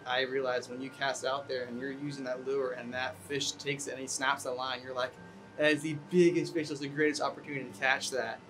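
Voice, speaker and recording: A man's voice: mid-range voice, a little fast. Young man talking casually over guitar. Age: young adult. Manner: relaxed, many fillers, gets excited. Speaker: interviewee. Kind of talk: casual interview talk. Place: outdoor balcony. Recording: guitar music underneath.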